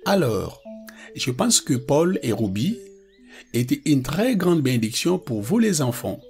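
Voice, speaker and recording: A man voicing a father who hosts a children's meeting and makes a lively announcement. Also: mid-range voice, a little slow, soft background music. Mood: cheerful. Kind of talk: scripted cartoon dialogue.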